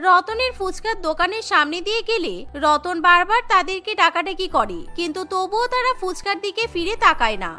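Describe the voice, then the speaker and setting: Female narrator for cartoon storytelling, scripted read, very high pitch, bright tone. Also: animated, fairly quick, hardly any pauses, faint music behind.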